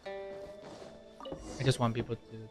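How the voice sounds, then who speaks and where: A man's voice: high-pitched, expressive, slow pace, music in the background. A man chatting bluntly and casually, giving his opinions as he streams a game. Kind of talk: casual opinionated chat while gaming.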